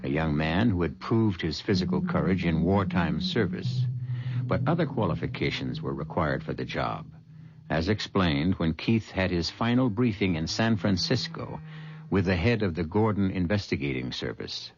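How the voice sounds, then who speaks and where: American guy narrating an old radio mystery, really low voice, lots of inflection, dull vintage recording. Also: lively intonation, normal speed. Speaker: narrator, host. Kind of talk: scripted dramatic radio narration.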